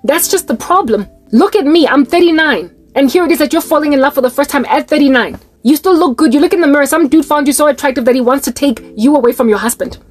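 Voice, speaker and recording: A woman's voice: high voice, quick pace, few pauses. A South African woman in her late thirties, preaching to her viewers in an exhorting, rhetorical monologue. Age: 30s.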